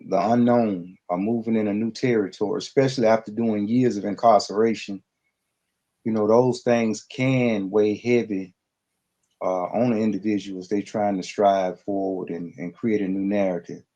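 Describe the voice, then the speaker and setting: A man speaking reflectively in a podcast conversation, halting and earnest, with frequent pauses. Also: on the low side, fairly even intonation, mellow, dull audio. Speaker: podcast guest.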